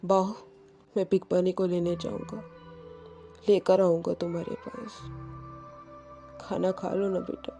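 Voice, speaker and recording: Mellow-voiced woman dramatizing a story. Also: on the low side, flat delivery, unhurried, creaky, pauses often. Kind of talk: dramatized audio story narration. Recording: music behind the voice.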